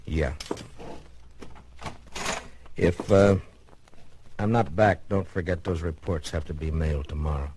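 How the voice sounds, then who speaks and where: Stoic frontier marshal, deep and deadpan, clipped radio western lines, clearly noisy old recording. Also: male voice, unhurried, pauses often. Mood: terse, calm. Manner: terse, understated, deadpan. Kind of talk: old-time radio western drama acting.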